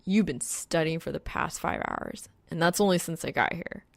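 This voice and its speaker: A woman's voice: low voice, moderate pace, creaky, dry recording. A woman voicing a young male gamer in an audiobook, a blunt, grumpy and casual slacker. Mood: exasperated. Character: Soul, young male gamer, scowling, food-obsessed slacker. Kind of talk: acted audiobook dialogue.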